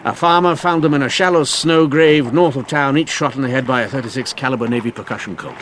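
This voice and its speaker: A man's voice: medium pitch, fast, a bit bright, a little hiss or hum, natural voice. A man playing a shrewd, formally courteous police inspector in an old-time radio mystery, with a hesitant, old-fashioned way of talking. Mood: assured, explanatory. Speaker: actor.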